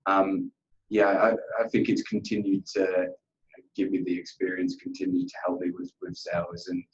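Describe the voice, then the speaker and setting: A man's voice: low voice, little pitch movement, moderate pace, dull audio. A man answering questions in a workplace interview, earnest and conversational, often repeating himself and padding with "you know". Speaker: account manager being interviewed.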